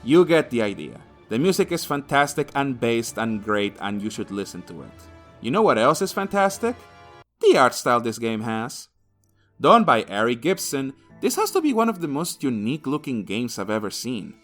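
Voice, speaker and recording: A man's voice: medium pitch, big pitch swings, moderate pace, fairly bright tone, faint music behind. A man narrating an opinionated video game review in a crude, sardonic way, swearing freely.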